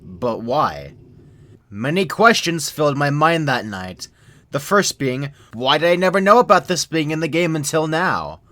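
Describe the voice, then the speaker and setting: A young adult man reading a scripted creepypasta in a measured, serious storytelling manner. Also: on the high side, big pitch swings, pushed and bright. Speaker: narrator.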